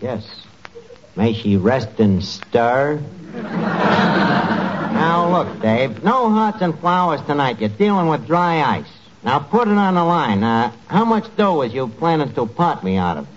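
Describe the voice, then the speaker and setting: A man's voice: a little high, big pitch swings, unhurried. An actor playing a sour, streetwise, wisecracking male tavern manager with slangy speech in a 1940s radio comedy. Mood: suspicious. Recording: muffled, noisy 1940s radio recording.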